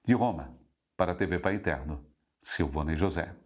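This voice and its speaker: A man working as a TV news correspondent, giving a measured report read from a script. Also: sits low.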